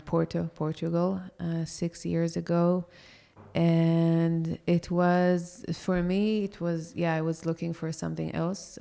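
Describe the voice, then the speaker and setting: A woman's voice: sits low, creaky, warm tone. A woman from Israel, a yoga teacher and podcast guest, speaking thoughtfully and hesitantly with many fillers in a casual interview.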